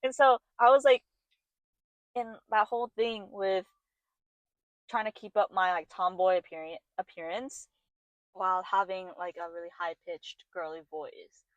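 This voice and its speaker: Brief: young female co-host, mid-pitched voice, dry close mic, chatty and self-deprecating. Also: unhurried, dry recording, poor audio. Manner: animated storyteller, self-deprecating, laughs often. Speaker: podcast co-host. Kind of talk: casual chat.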